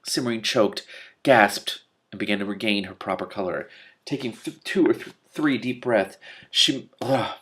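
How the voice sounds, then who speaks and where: A man reading a novel aloud as host, expressive and reactive, stumbling over words now and then and breaking in with sweary asides. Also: lowish voice, unhurried. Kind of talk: expressive audiobook-style reading with excited asides.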